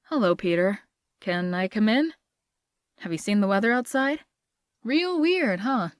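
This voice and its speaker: A woman's voice: mid-range voice, animated, measured pace, no echo, clean studio sound, close to an everyday voice. A woman playing a sincere, apologetic young love interest in a comic dub, earnest and dramatic. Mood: casual, awkward.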